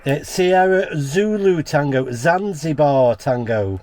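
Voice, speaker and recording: A man's voice: a little high, expressive, slow, a loud crowd. A British man in a chatty, casual style, talking as an amateur radio operator and vlogger who spells things out in the phonetic alphabet. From United Kingdom. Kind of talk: casual vlog talk and radio contact exchanges. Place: portable radio outing.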